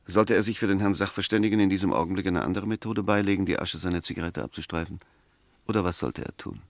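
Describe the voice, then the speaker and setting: An actor in a 1960s German radio play as an eloquent, argumentative defence advocate, speaking with precise, emphatic, scornful rhetoric. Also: male voice, deep voice, fairly even intonation, fast, no room sound. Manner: rhetorical, emphatic, pointed questions, precise diction.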